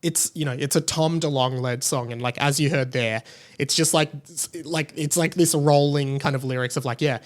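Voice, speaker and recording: Podcast host: Australian man, late twenties, slightly higher-pitched voice, casual and eager delivery. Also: fairly even intonation, normal speed. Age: late 20s. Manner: enthusiastic, gushing. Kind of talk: casual chatty solo podcast.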